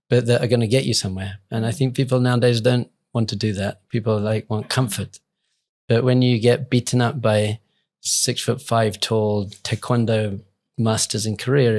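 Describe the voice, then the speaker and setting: A man speaking as an interview guest, reflective and earnest in an informal, conversational way. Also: mid-range voice. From England. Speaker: rabbi and podcast guest.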